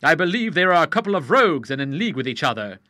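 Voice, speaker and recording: A man's voice: on the high side, sing-song, quick pace, bright, forceful tone, no echo, clean studio sound, pitched above the performer's own voice. A man voicing an earnest, plain-spoken young man who is suspicious, in an audiobook. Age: young adult.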